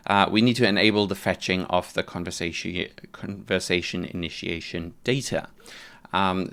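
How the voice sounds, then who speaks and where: Low, clear-voiced man giving a tutorial. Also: fairly bright tone. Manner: conversational, informal, explains step by step. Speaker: developer advocate presenting a tutorial. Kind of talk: casual software tutorial narration.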